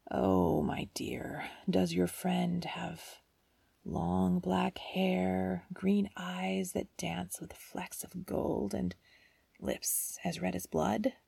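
A woman voicing a frightened, sorrowful old woman held prisoner, speaking in a slow, hissing whisper. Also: fairly low pitch, level tone, gravelly, warm tone, breathy edge, no echo, full character voice. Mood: grave warning.

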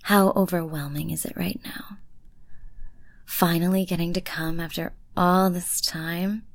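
A woman making erotic ASMR audio, speaking softly and commandingly on a close mic, often slipping into a whisper. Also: fairly low pitch, steady tone, a little slow, vocal fry, no echo. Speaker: ASMR creator.

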